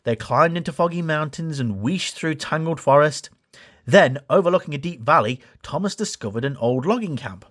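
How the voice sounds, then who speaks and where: A man's voice: fairly high pitch, expressive, moderate pace, a bit bright. A man reading a children's story as a scripted storybook narration. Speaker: story reader.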